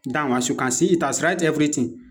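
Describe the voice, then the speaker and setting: A man with a Nigerian accent presenting an unscripted software walkthrough, explaining step by step and repeating his instructions as he goes. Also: fairly high pitch, quick pace. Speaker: tutorial presenter.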